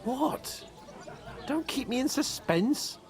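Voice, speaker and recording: An actor playing a pompous, excitable young nobleman in a video game, theatrical and quick to indignation. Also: very high voice, full character voice. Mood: curious, teasing. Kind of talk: dramatic video game dialogue.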